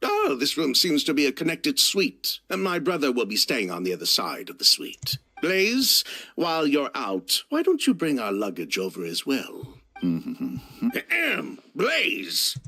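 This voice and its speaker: A man voicing a genteel, theatrical gentleman in a video game, with a flowery, courteous manner. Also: fairly high pitch, huge pitch swings, a little slow, slightly rough, faint music behind, exaggerated voice. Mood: breezy, courteous.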